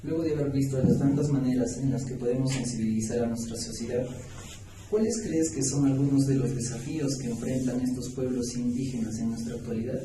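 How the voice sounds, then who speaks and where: A man's voice: mid-range voice, fairly quick, dark tone. A twenty-year-old man with a southern Peruvian accent co-hosting a podcast interview in a scripted style. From Juliaca, Puno, Peru. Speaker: podcast co-host. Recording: echoey room, distant mic, faint noise.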